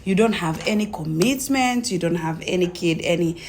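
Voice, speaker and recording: A young woman with a Tanzanian accent tells her own story casually, in an animated way, acting out conversations as she goes. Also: fairly low pitch, normal speed, a little hiss or hum. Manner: animated retelling, acts out quoted conversations.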